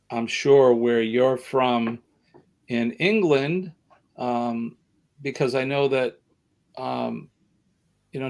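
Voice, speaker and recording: A man with a Boston accent hosting a casual live stream, rambling and halting with many ums and repeated words. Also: mid-range voice. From northeastern United States.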